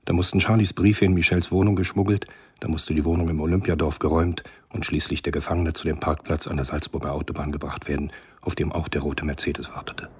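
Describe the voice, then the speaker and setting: Very deep-voiced male radio play narrator. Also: steady tone, breathy edge. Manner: measured, sombre.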